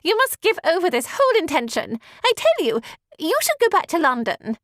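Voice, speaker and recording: A shrill, spoiled young lady, as a woman reader puts her on shrieks her objections in a high, piercing, dry voice. She sounds haughty and imperious. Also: sing-song, normal speed, a bit bright, no room sound, full character voice. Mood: insistent. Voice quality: shrill, screeching.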